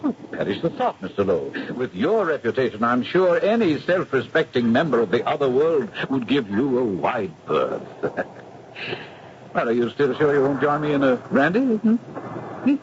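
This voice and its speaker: A man's voice: mid-range voice, big pitch swings, normal speed, close to an everyday voice. Guy playing cocky young aristocrat, florid and mocking, swoopy delivery, muffled noisy old radio drama. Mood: mocking, defiant. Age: young adult. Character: cocky, flippant young aristocratic heir, skeptic. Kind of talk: 1970s radio mystery acting.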